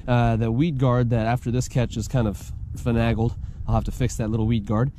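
A man's voice: mid-range voice, little pitch movement, fairly quick, mellow, slight background noise. A man with an American accent hosting a casual outdoor fishing vlog, chatting to viewers in colloquial, folksy slang. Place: outdoors by a pond. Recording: music laid over speech. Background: music.

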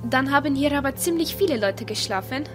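A vain, stubborn young hero voiced by a woman squabbles childishly in a fantasy radio play. The voice is quite high, and music plays clearly behind it. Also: lively intonation, a little fast. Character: vain, stubborn, childishly bickering male adventurer.

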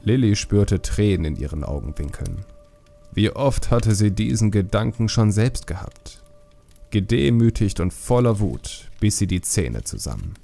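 A man's voice: fairly low pitch, very animated, a little slow, creaky, mellow, soft background music. A man narrating a scripted fantasy audiobook.

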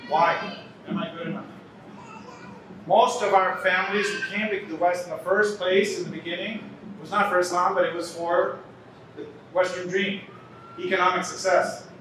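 A man's voice: high-pitched, steady tone, moderate pace, background noise. A Canadian man from Toronto giving an earnest conference talk at a podium, repeating key lines for emphasis. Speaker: conference speaker. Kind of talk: lecture at a podium. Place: large banquet hall, indoors. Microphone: podium mic from a distance. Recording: echoey hall, mic some distance off.

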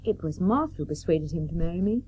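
Husky, genteel Victorian wife. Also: female voice, fairly low pitch, animated, mellow, highs cut off. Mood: reflective. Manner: genteel, brisk. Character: genteel Victorian doctor's wife and mother, fond, brisk. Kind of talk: radio play acting.

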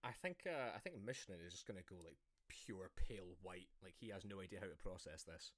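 A man's voice: sits low, animated, brisk, a bit bright, no echo. A Scottish man chatting casually and jokingly as a player in an online tabletop game, often laughing at himself. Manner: laughs often, self-deprecating.

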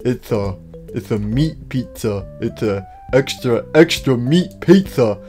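A man plays a smug animatronic bear in a cartoon comedy. He is laid-back and flirty, talking in a casual, smooth way over background music. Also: mid-range voice, animated, slow, dark tone. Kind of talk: improvised comedic character roleplay.

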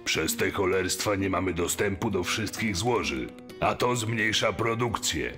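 A man playing an exasperated, mocking mine boss in an audio drama, complaining in a forceful manner. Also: deep voice, unhurried, over music. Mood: defiant, exasperated.

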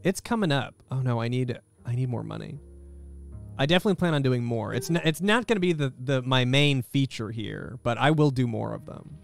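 A man's voice: mid-range voice, lively intonation, a little fast, creaky. A man in his thirties chatting casually and jokingly with live viewers as he streams a video game from a room at home. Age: 30s. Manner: wry, joking. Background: faint guitar music.

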